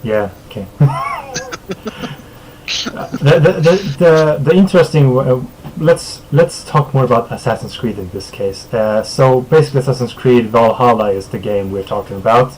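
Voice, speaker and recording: A man from Sweden whose first language is Swedish, hosting a casual streamed podcast, laid-back and quick to chuckle, with filler words and trailing sentences. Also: mid-range voice, wildly swooping pitch, darkish tone, a little hiss or hum, poor audio. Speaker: podcast host.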